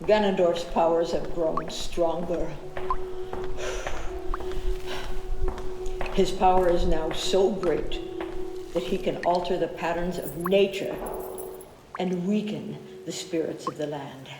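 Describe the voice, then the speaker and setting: A woman playing a solemn, commanding guardian of a princess in a fantasy audio drama, speaking in measured, formal lines. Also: on the low side, fairly even intonation, background noise, close to an everyday voice. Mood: grave. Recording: music under the voice.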